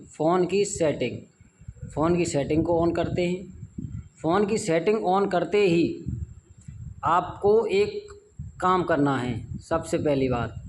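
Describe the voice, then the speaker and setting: Male tutorial host, slightly high voice, informal and repetitive step-by-step delivery, faint background noise on an amateur recording. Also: a little mellow, rough recording. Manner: repeats phrases and instructions as he goes.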